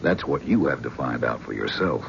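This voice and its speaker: A measured, probing shrink, very low and gravelly voice, old radio play, dull hissy broadcast sound. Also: male voice, lively intonation, a bit bright, full character voice. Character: calm probing male psychiatrist, middle-aged. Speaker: psychiatrist. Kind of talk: 1970s radio drama acting.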